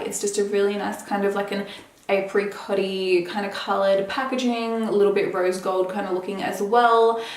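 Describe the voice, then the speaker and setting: A woman's voice: medium pitch, slight background noise. Chatty woman vlogger in an echoey room. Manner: chatty, with many fillers. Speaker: beauty vlogger. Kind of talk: casual product review.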